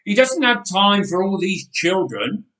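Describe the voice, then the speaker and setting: Brusque officials voiced by a man telling a story who talk loud and curt as they dismiss everyone. The reading echoes through a roomy church. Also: sits high, very animated, a little slow, recorded from a distance, pitched above the performer's own voice, full character voice. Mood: dismissive.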